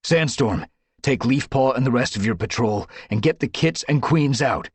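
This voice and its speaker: A commanding tomcat leader in a storybook, barking out orders to his warriors, as a man puts him on. The voice is raised a little above the narrator's own, husky, with a dry close sound. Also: lowish voice, animated, fairly quick, no echo, voice pushed up.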